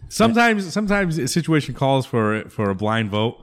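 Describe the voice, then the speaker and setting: A man hosting a casual talk show, relaxed and swearing freely. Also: mid-range voice, normal speed. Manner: laid-back, swears freely, jokes about league rivals. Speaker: podcast host. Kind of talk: casual chat.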